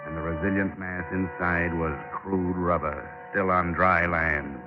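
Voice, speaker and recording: A tough, wisecracking investigator. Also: male voice, deep voice, fairly even intonation, unhurried, over music. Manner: wisecracking, needling. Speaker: narrator and lead. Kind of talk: 1940s radio acting. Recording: dull 1940s radio broadcast recording.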